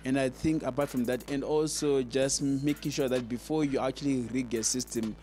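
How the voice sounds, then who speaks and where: A man's voice: mid-range voice, fairly even intonation, moderate pace, warm tone, faint music behind. A man giving an informal explainer as a sound engineer, earnest and emphatic, often repeating words as he goes. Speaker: sound engineer and video host giving advice.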